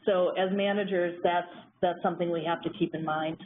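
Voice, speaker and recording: Soft-spoken woman lecturing over the phone. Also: fairly low pitch, steady tone. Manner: soft-spoken, measured, some fillers. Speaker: presenter on marine mammals. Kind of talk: lecture on a conference call. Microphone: phone line. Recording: tinny phone line, faint noise.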